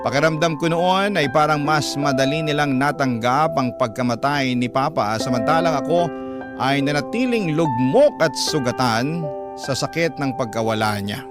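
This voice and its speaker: A man with a Filipino accent reading a dramatic first-person story in a sorrowful, measured manner. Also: medium pitch. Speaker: radio story narrator.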